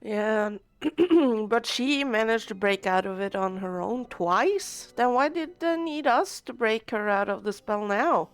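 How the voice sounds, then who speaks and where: A woman's voice: on the high side, expressive, takes it slowly, clean tone. A woman streamer with a stuffy nose from a cold, chatting in a rambling, casual way while she plays. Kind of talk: casual let's-play commentary. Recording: faint game music behind.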